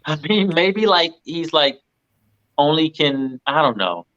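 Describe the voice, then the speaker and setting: A man's voice: a little high, big pitch swings, slow, a bit bright, highs cut off. A man chatting casually and colloquially on a film review panel, somewhat hesitant in his phrasing. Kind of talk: casual group film discussion.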